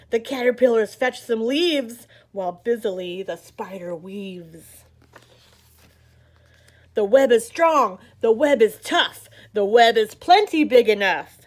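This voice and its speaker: A middle-aged woman reading a picture book aloud for children in an expressive, dramatic, chanting way. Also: a little high. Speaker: library story time host.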